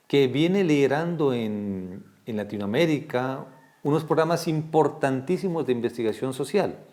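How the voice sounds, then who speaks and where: A man's voice: medium pitch, darkish tone. Man hosting an interview program. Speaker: program host. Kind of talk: interview with long spoken introductions.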